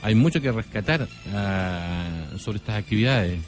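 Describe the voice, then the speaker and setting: A man's voice: lowish voice, animated, measured pace. A man from Chile with a Chilean accent, hosting a conversational radio interview. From San Antonio, Chile. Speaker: radio host interviewing a guest. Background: background music.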